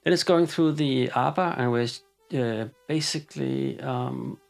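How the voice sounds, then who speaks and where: Gravelly-voiced man explaining a synth patch. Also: medium pitch. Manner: relaxed, halting with frequent ums and uhs. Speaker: synthesizer demonstrator. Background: synthesizer music.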